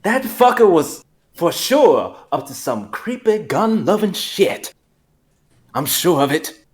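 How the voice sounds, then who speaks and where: A suspicious, opinionated male store cashier, acted by a man in a script reading. His voice sits fairly high and moves up and down a lot. He sounds insistent, dramatic and gossipy. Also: sing-song, slow, heavily acted. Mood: convinced.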